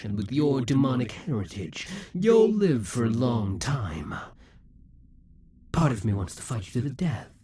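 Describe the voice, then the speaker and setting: A man playing a vengeful, defeated demon king in an audio roleplay, taunting and grandiose, with a husky, breathy voice that often drops to whispers. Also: lowish voice, darkish tone, full character voice. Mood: grudging resignation. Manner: grandiose, menacing, deliberate dramatic delivery. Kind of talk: scripted audio roleplay monologue.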